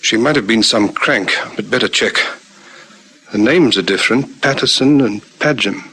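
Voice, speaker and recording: An actor playing a methodical, courteous police lieutenant in a radio crime drama. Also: male voice, lowish voice, normal speed, sharp tone, slightly rough, exaggerated voice. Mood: thoughtful. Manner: businesslike, courteous, thinking aloud. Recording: noisy vintage radio recording.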